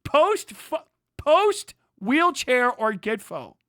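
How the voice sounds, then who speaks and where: A man's voice: very high-pitched, big pitch swings, extremely slow, fairly bright tone, no echo, studio quality. A man hosting a livestream, giving sarcastic, exasperated and profane mocking commentary. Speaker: livestream host.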